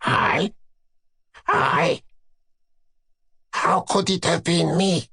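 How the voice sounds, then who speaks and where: A man voicing a meek dwarf court jester from a classic story, hesitant and subdued, with a strained, creaky voice. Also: on the high side, very slow, bright, forceful tone, voice pushed up, full character voice. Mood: feigned innocence. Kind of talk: dramatic reading of a classic story.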